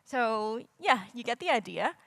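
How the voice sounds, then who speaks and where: A woman's voice: fairly high pitch, sing-song, a little slow, vocal fry, fairly bright tone, no room sound. A young woman from Hong Kong giving a casual conference talk, explaining with frequent fillers and wry asides. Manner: casual, self-deprecating, filler-heavy explaining. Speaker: conference speaker. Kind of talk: presentation talk. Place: lecture hall stage. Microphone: handheld or stage mic.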